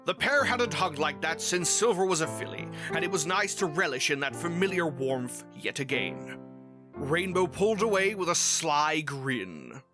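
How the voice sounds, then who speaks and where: Animated storybook narrator of ambiguous pitch. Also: normal speed, over music.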